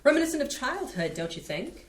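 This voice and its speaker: A woman's voice: high voice, big pitch swings, fairly quick. A woman reading the part of a disdainful woman in a staged play reading, dry and pointed. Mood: cool. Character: cool, disdainful woman guarding her friend. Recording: roomy stage sound.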